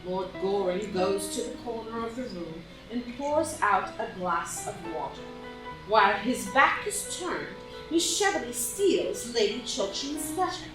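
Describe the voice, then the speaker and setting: A woman narrates stage directions for a drama with animated, varied intonation. She sounds a little far from the mic in a very echoey room. Music plays clearly behind her. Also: on the high side, measured pace.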